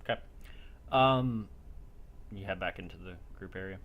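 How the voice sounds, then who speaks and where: A man's voice: sits low, normal speed, lo-fi sound. A man acting as game master, narrating a role play in a blunt, casual way and sometimes swearing. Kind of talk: narrating a live tabletop-style role play. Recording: noisy online voice chat.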